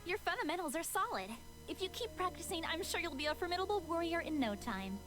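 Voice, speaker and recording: A woman plays an honorable female knight from a fantasy game, with a high, very lively voice. She instructs earnestly and gets exasperated easily. Music plays clearly behind her. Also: fast talker. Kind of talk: visual novel character acting.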